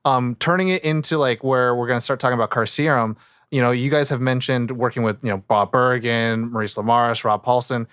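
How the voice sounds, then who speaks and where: Male interviewer for a chatty podcast, mid-range pitch, smooth clean voice, dry close recording. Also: fairly even intonation, a little fast, fairly bright tone, no echo. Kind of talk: casual podcast chat.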